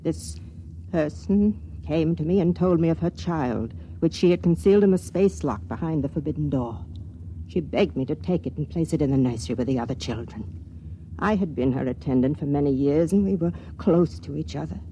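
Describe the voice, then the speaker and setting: An actress playing an elderly, secretive former nursery matron in a 1950s radio drama, speaking in a hushed, conspiratorial way. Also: female voice, low-pitched, normal speed, a little hiss or hum, natural voice. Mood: grave. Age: older. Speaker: character in a 1950s radio play. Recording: muffled vintage radio broadcast.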